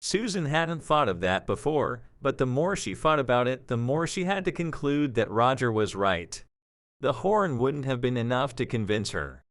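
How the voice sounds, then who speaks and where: A male narrator reads a scripted story. His intonation moves expressively around a medium pitch. The recording is dry, with very little room sound. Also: big pitch swings, normal speed, no room sound.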